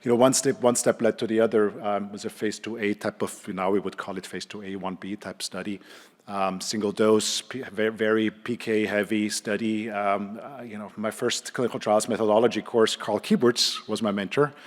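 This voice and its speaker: A middle-aged man with an Austrian accent giving an informal conference presentation, haltingly, with repeated words and many hesitations. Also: lowish voice, level tone. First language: German. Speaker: conference speaker, neurologist and clinical trialist. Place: conference hall, speaking to an audience. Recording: reverberant hall sound.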